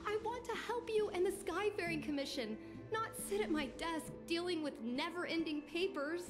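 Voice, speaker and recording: A woman's voice: extremely high pitch, moderate pace. A woman voicing a headstrong, indignant young daughter in a video game drama. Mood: defiant, indignant. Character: headstrong, defiant young daughter, aspiring pilot. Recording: game audio with music behind. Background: music.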